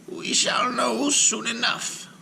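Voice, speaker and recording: A man voicing an elderly male rabbi, solemn and commanding, his lines raised high and urgent, at times whispered. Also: sing-song, measured pace, vocal fry, background noise, heavily acted.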